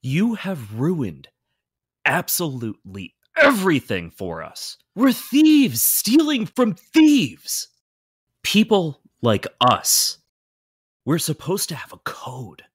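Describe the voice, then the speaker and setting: A woman playing a bitter, menacing circus thief villain with seething, drawn-out delivery. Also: low voice, wildly swooping pitch, slightly rough, full character voice. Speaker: voice actor in a fan motion comic.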